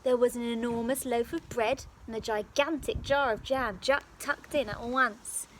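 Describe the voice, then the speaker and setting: A woman telling a story to young children as a teacher would, with expressive, dramatic and careful phrasing, recorded outdoors. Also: a little high, normal speed. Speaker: primary school teacher. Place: outdoors in a garden. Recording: faint outdoor background noise.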